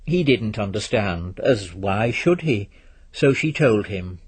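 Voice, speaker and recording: A man's voice: lowish voice, animated, slow pace, husky. A man reading a literary story aloud as scripted narration.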